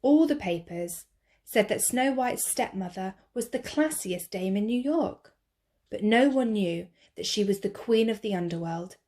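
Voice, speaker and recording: A woman teacher reading a picture book aloud to children, slow, clear and expressive. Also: mid-range voice.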